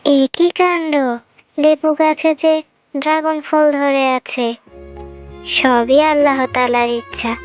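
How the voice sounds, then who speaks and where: A woman voicing a humble, gentle widowed mother fox in a children's cartoon story. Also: high voice, flat delivery, takes it slowly, long pauses, highs cut off, faint music behind. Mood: soothing.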